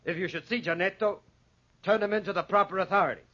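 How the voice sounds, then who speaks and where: A smug, scheming figure of authority in 1940s radio style. The voice is fairly high and forceful, heard through dull vintage sound. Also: male voice, fast. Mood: falsely polite. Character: smirking, scheming cousin with official authority. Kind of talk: 1940s radio drama acting. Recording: muffled, dated 1940s radio recording.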